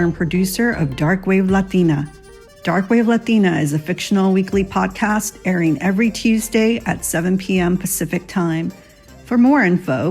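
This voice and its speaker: A woman's voice: lowish voice, a little mellow. A woman giving a scripted podcast announcement as the show's creator. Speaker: podcast creator, writer and producer.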